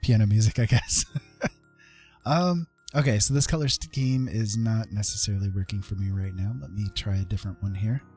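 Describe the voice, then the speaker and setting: A man's voice: fairly low pitch, normal speed, a little mellow. A man chatting casually and laid-back on a livestream, thinking aloud as he paints. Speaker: streamer, digital painter. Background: piano music.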